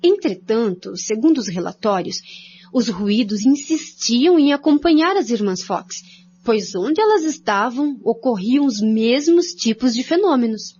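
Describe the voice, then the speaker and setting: A woman's voice: on the high side, animated, unhurried, darkish tone, slightly rough. A woman with a Brazilian accent reading scripted narration for a radio drama. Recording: dull lo-fi audio.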